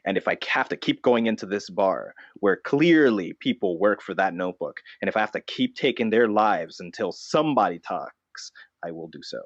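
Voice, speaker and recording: A man playing a solemn, vengeful vigilante notebook dressed as a bat, earnest and deadpan in an improvised comedy interview. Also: lowish voice, normal speed, hardly any pauses, dry recording, lo-fi sound. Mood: grim, determined. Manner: earnest, deadpan, self-serious.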